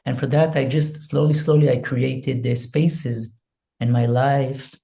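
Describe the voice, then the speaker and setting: A man from Israel with an Israeli accent, speaking in English as an interview guest, soft and hesitant, searching for words and leading a quiet prayer. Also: mid-range voice, fairly even intonation, unhurried, creaky. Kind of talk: slow reflective interview talk with a spoken prayer.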